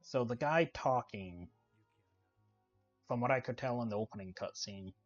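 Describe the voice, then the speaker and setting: A soft-spoken man giving casual, relaxed commentary while playing a video game, with lots of "uh" fillers. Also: medium pitch, moderate pace, highs cut off, faint music behind. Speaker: gaming channel host. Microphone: desk microphone.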